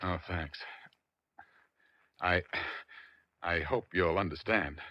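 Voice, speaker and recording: Anxious, stammering husband, lowish voice. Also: male voice, slow, no room sound. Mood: nervous, subdued. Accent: American. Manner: hesitant, stammering, earnest. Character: anxious, distraught, decent husband in his late thirties. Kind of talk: 1940s radio acting. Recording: muffled 1940s radio recording.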